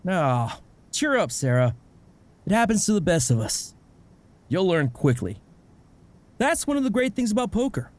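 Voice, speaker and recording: Guy doing a breezy cheerful cartoon dad, kinda high voice that jumps all over, music behind. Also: measured pace, long pauses. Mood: encouraging. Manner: jovial, breezy, expressive. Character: cheerful, easygoing middle-aged dad who loves poker. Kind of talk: webcomic fan dub acting.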